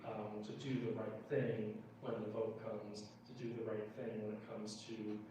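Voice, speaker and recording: A man's voice: fairly low pitch, flat delivery, a little slow. A man answering unscripted as a political candidate at a town hall. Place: indoor town hall meeting room. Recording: distant, very echoey room, faint noise.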